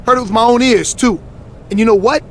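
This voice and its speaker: An actor playing a proud, straight-talking male rival wrestler in a video game, with a raspy voice and an intense manner. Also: very high voice, fairly quick, slight background noise. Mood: stern. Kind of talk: scripted video game cutscene acting.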